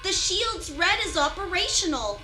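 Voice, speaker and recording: A young, sharp-witted spaceship newcomer in an audio drama. Her voice is extremely high-pitched. Music plays clearly beneath it. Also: sing-song, moderate pace. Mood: matter-of-fact.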